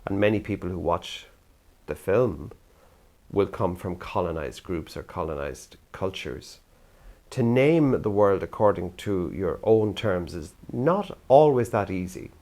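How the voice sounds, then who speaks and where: A man's voice: low-pitched, lively intonation, unhurried, a little mellow. An older Irish man from the theatre world gives an earnest, thoughtful talk to camera. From Ireland. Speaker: youth theatre practitioner.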